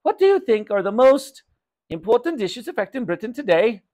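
A man's voice: very high voice, very animated, a little fast, darkish tone, pitched above the performer's own voice. A man voicing a pushy, self-important mother in a children's storybook, insistent and pompous.

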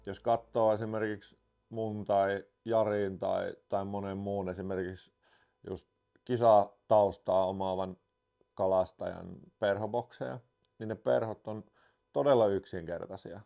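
A Finnish man in his thirties, a fly fishing expert calmly explaining to camera indoors. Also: fairly low pitch, gravelly, dull audio. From Finland. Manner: calm, unhurried explaining. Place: indoors in a wooden room.

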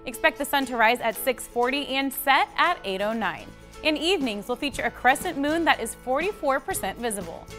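A young woman reading a fishing forecast in a TV studio in a crisp, scripted way. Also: high voice, animated, brisk, fairly bright tone, soft background music. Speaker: studio presenter.

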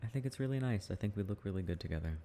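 A man's voice: on the low side, animated, rapid-fire, dark tone, a little hiss or hum. A young man in an intimate ASMR boyfriend roleplay, chatting casually and affectionately with frequent chuckles. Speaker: boyfriend roleplay audio performer.

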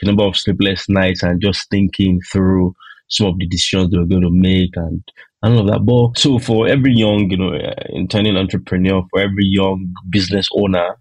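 A man co-hosting a book podcast, speaking in a halting way and repeating words as he thinks. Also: deep voice, level tone, darkish tone, dry recording, poor audio. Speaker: podcast co-host. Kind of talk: casual book review chat.

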